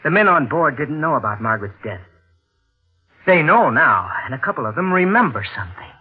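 An actor playing a bitter, sardonic husband in a 1940s radio thriller, accusing and mock-endearing on an old broadcast recording. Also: male voice, on the high side, very animated, fairly quick, breathy edge. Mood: bitter, taunting. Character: bitter, menacing, sardonic husband suspecting his wife of murder. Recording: old radio broadcast, thin phone-like sound, faint music.

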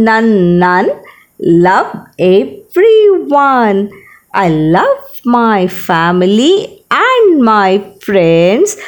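Woman playing a sweet, very slow sing-song little girl. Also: a little high, exaggerated voice. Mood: earnest. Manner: sing-song, wide-eyed, drawn-out storybook delivery. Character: sweet young girl, playful younger sister doting on her doll. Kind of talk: children's story dialogue.

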